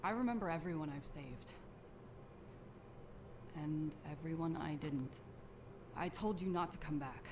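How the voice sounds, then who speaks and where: A guarded warrior who lives alone, in an animated tale. She speaks low, in terse and reserved phrases. There is clear background noise around the voice. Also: measured pace, long pauses. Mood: stern, guarded. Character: guarded lone warrior woman, weary protector of villagers. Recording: noisy ambience behind the voice.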